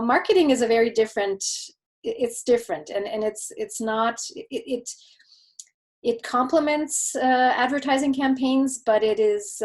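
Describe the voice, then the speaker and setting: Middle-aged woman, thoughtful and halting. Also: medium pitch, a little mellow. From Canada, Quebec. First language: German. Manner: thoughtful, many false starts and filler words. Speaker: guest expert. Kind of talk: conversational interview answers.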